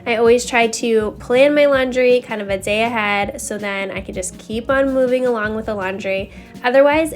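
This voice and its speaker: A woman giving a casual, confiding voice-over narration as a home vlogger, talking straight to viewers with a slightly scratchy voice. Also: fairly high pitch. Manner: chatty, confiding, addresses viewers directly. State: slightly scratchy voice, needs to clear throat. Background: background music.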